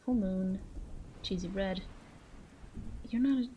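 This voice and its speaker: A woman's voice: medium pitch, highs cut off, slight background noise, natural voice. A woman playing a flirty, self-assured female mafia boss in a roleplay audio drama, coy and teasing as she speaks straight to the listener. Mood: coy flirtation. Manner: coy, teasing, drawn-out phrasing with breathy asides.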